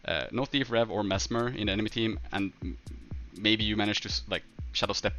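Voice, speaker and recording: Low, bright-voiced Swedish man chatting on stream. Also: dull audio, faint music behind. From northern Sweden. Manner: halting, thinking aloud. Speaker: game livestreamer. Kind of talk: casual livestream chat, answering viewers' questions.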